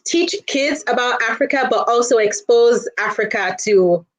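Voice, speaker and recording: A woman's voice: a little high, moderate pace. A woman talking casually and chattily as an interview guest, often saying "you know" as she explains. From Nigeria. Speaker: guest, children's storyteller.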